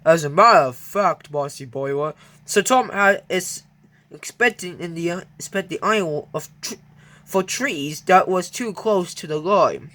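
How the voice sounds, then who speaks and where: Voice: medium pitch, lively intonation, unhurried, bright, forceful tone. A reader voicing a grumbling, teasing male steam engine in a children's storybook, with blunt, dismissive retorts. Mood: retorting.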